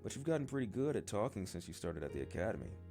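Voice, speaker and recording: Man as a teasing older ninja boy, lively. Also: on the low side, fast talker, vocal fry, music in the background. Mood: teasing, admiring.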